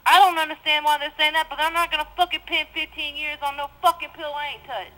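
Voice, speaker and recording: A woman's voice: sits high, flat delivery, fast, bright, forceful tone. A woman calling from jail on the phone, agitated and heavily profane, arguing with her mother over her court case. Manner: agitated, profane, talks over the other speaker. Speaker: inmate on a jail phone call. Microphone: jail telephone.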